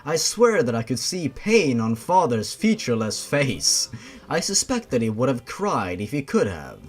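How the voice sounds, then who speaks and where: A man's voice: medium pitch, big pitch swings, unhurried, soft background music. A man narrating a story in a theatrical, dramatic way, with sarcastic asides.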